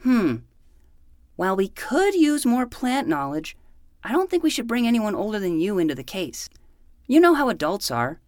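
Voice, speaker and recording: A woman's voice: medium pitch, very animated, normal speed, a little mellow, no echo, clean studio sound, natural voice. A woman playing a self-important 12-year-old boy who acts like a hardboiled private eye in a fiction podcast. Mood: earnest, insistent. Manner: melodramatic, self-important noir narration.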